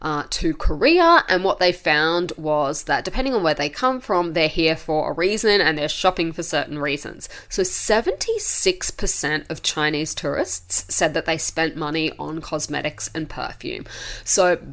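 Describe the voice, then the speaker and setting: A woman's voice: low-pitched, animated, moderate pace, fairly bright tone. A woman hosting a podcast, chatting casually while congested and a bit nasal from a cold. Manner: chatty, conversational, frequent "uh" asides. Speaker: podcast host. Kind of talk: casual solo podcast chat.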